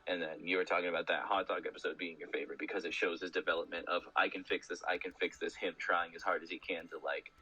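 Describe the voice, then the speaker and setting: A man's voice: low voice, little pitch movement, fast talker, few pauses, bright, forceful tone, slightly rough, highs cut off. A man hosting a casual podcast conversation in an analytical, conversational manner. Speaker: podcast host. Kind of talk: casual chat about cartoons. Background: game music.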